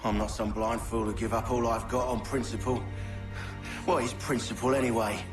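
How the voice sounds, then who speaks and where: A man's voice: mid-range voice, little pitch movement, normal speed, lightly acted. A man playing a cynical, coarse, greedy villain in a video game, with a blunt and taunting delivery. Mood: scornful taunting. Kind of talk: dramatic video game acting. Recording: echoey, music underneath.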